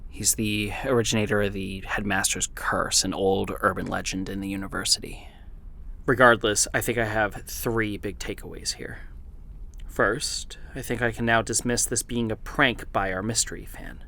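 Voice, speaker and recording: An actor playing a weary, guarded researcher who dictates a deliberate audio log in a horror podcast. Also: male voice, fairly low pitch, steady tone, vocal fry, bright, forceful tone. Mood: analytical, wry. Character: weary male researcher recording audio logs, guarded, brooding. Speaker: investigator narrating an audio journal.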